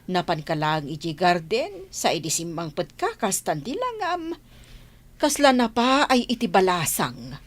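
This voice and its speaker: A woman's voice: on the low side, huge pitch swings, measured pace, full character voice. A woman playing a fussing, opinionated middle-aged village mother of a grown son in a radio drama. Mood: concerned, prying.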